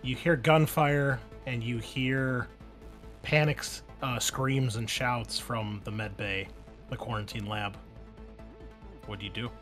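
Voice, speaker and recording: A man's voice: mid-range voice, steady tone, takes it slowly, a bit bright. A man acting as game master, narrating scenes and explaining rules in a tabletop roleplaying game. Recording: background music under the voice. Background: music.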